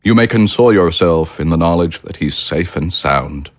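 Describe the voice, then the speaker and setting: An actor playing a coldly polite, powerful villain in an audio drama, speaking in a formal, measured, condescending way. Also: male voice, low voice, animated, no room sound. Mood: smooth persuasion.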